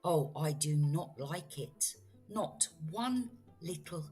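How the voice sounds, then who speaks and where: A woman's voice: low voice, lively intonation, takes it slowly, dark tone, faint music behind. A woman voicing an anxious, scolding little pet fish in a children's storybook read-aloud, fretful and urgent. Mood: alarmed scolding.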